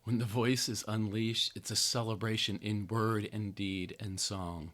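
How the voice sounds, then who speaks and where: An American man with a somewhat low voice reads a written polemic aloud. His delivery is theatrical and sardonic. He leans hard on key phrases and stretches them out for emphasis. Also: level tone, unhurried, fairly bright tone. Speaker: podcast host. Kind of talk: dramatic reading of a written opinion essay.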